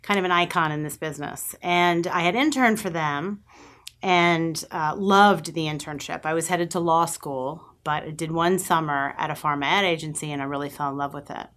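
A middle-aged woman with a Long Island New York accent and a notably low voice. She speaks as an agency president in a studio interview, telling lively anecdotes and acting out bits of old conversations. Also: normal speed. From New York, USA. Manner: lively anecdotes, acts out remembered dialogue. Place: podcast studio.

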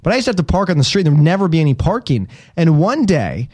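A man's voice: fairly high pitch, fast talker. A man co-hosting a casual chat, telling a story and acting out the voices in it. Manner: animated, acts out voices in his story.

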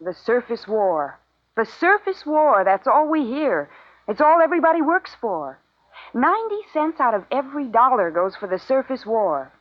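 A woman's voice: fairly high pitch, very animated, normal speed, lo-fi sound. A woman playing an earnest, defiant young researcher in a 1950s radio drama, speaking with bitter, sardonic intensity on an old recording. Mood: bitter, intense.

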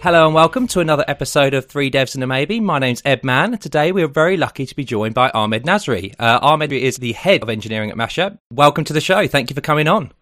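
Fast-talking podcast host, dry sound. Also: male voice, medium pitch, expressive, fairly bright tone, dry recording, soft background music. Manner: rambling, loose phrasing with fillers. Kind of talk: casual podcast chat.

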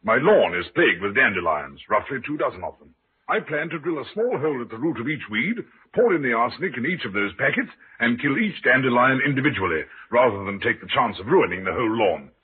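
An actor playing a proud, indignant military colonel in a mid-century radio drama style. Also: male voice, mid-range voice, sing-song, fast talker, narrow, tinny audio, natural voice. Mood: defensive, explaining. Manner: indignant, stiff, blustering. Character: proud military colonel accused of poisoning his wife. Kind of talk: 1940s-50s radio acting. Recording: noisy, band-limited old radio broadcast.

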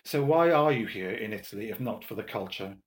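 A man voicing a nervous, earnest young gentleman in an audiobook, with an eager, questioning manner. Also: on the low side, animated, brisk, lightly acted. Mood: urgent, earnest. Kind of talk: audiobook character dialogue.

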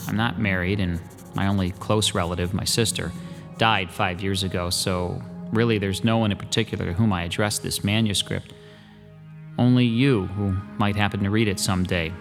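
A man's voice: sits low, steady tone, moderate pace, gravelly, over music. An actor in his forties playing a weary, brooding artist telling his own story. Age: 40s. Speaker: narrating protagonist. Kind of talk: first-person radio drama.

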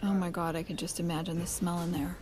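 A woman's voice: lowish voice, little pitch movement, quick pace, music in the background, lightly acted. A woman playing a supportive but frank friend in an audio drama, speaking with emphasis. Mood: frank, disapproving. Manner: emphatic, reassuring. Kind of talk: naturalistic audio drama acting.

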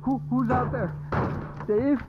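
An actress playing a frightened, pleading captive who speaks haltingly in an audio drama. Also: female voice, on the high side, steady tone, loud music behind the voice. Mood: pleading, fearful. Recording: muffled, band-limited sound.

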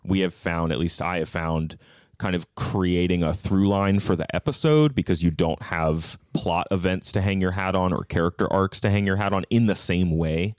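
A man's voice: deep voice, normal speed, darkish tone, no room sound, studio quality. A man talking casually and thoughtfully as a podcast guest, explaining his points in a conversational way. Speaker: podcast host appearing as a guest. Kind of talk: casual conversational book discussion.

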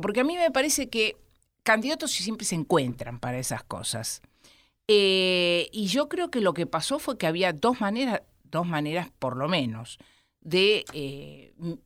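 An Argentine woman historian with an Argentine accent, a guest in an interview who explains at length with many pauses. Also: fairly low pitch, no room sound, clean studio sound. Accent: Argentine Spanish. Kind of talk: studio interview.

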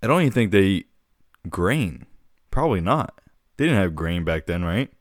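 A man's voice: deep voice, very animated, moderate pace, no room sound, clean studio sound. A man co-hosting a podcast in a casual, laid-back way, often calling his co-host dude.

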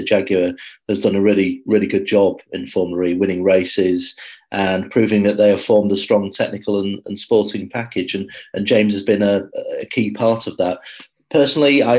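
Low-voiced man musing on a podcast. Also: darkish tone. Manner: thoughtful, hesitant, repeats words. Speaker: motorsport journalist, podcast panelist. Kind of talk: podcast discussion.

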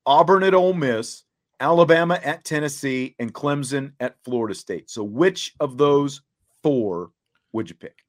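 Guy hosting a sports talk show, just chatting casually, medium pitched voice. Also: moderate pace.

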